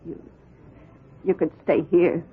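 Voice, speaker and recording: Husky, anxious middle-aged old flame. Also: female voice, fairly low pitch, heavily acted. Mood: tender, hesitant. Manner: hesitant, halting delivery. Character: worn middle-aged woman, anxious, wounded, tenderhearted. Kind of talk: 1970s radio drama acting. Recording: tinny, band-limited old radio broadcast.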